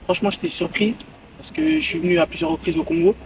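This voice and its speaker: A man's voice: high-pitched, sing-song, quick pace, far from the mic, background noise. A man in his twenties, a footballer giving casual interview answers outdoors and repeating phrases for emphasis. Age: 20s. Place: outdoors by a hotel pool.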